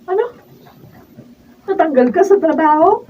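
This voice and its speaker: A woman's voice: very high-pitched, expressive, measured pace. A Filipino woman playing an exasperated, nagging young wife and mother in a radio drama, recorded in a noisy room. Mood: exasperated scolding. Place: radio drama studio, filmed raw. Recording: muffled, noisy room, distant mic.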